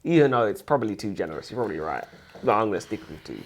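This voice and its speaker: A man's voice: fairly low pitch, big pitch swings, rapid-fire, gravelly. A man co-hosting a book show in casual banter, rambling along in colloquial, slangy speech. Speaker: co-host of a book review show.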